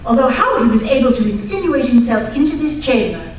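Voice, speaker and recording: A woman plays a genteel lady in a radio mystery. Her voice is slightly high, with a polite and earnest delivery. The recording is distant and very echoey, with faint noise. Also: lively intonation, a little fast, natural voice. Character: Lady Georgina, polite, helpful, well-bred female client. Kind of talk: old-time radio drama acting.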